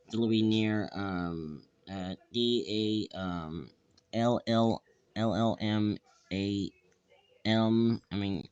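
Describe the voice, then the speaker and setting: A man giving improvised live weather commentary, halting and repetitive, stumbling over phrases and place names. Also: lowish voice, clear, resonant tone. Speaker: livestream weather host. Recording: dull lo-fi microphone sound.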